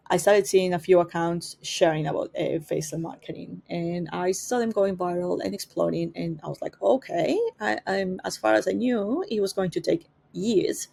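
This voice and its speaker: A woman with a strong Spanish accent, speaking English as a second language, gives a casual class and often pauses to find her words. Her voice sits a little low and has a gravelly fry. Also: mellow. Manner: conversational, searching for words as she explains. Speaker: digital marketing teacher. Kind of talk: informal master class talk.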